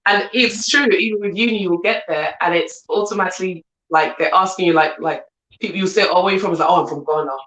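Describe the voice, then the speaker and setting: A woman's voice: on the low side, fast, a bit bright, roomy sound, low-quality recording. A woman in her thirties with a London accent, a guest talking earnestly in a casual chat and often asking "do you see what I'm saying". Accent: London English. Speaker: guest, coach and entrepreneur.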